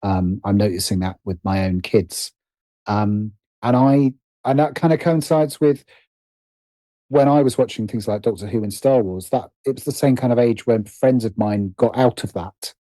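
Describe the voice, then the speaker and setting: A middle-aged man with a British accent speaks as an interview guest. His voice is fairly low and very soft-edged, recorded dry. He talks casually, hesitating and gently putting himself down as he thinks aloud. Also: normal speed, dry recording, poor audio. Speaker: podcast guest, writer and producer. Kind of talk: casual reflective interview chat.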